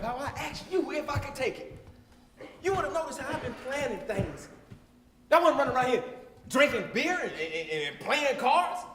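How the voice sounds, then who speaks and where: A man's voice: extremely high pitch, fairly bright tone, a little hiss or hum. An actor performing a stage monologue as a defensive working man, pleading passionately with his wife. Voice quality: impassioned, pleading. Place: performance room. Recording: echoey room.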